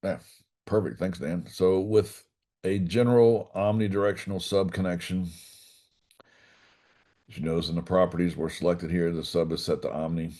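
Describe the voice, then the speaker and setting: Guy with a deep mellow voice walking a class through software setup, kinda folksy. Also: fairly even intonation, moderate pace, pauses often. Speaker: class instructor. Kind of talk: informal technical software demonstration.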